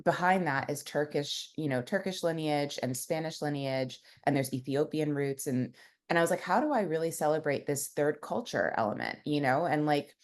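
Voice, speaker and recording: A woman's voice: sits low, normal speed. A young woman, a founder, telling her story in a casual interview, rambling with many fillers. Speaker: founder and guest.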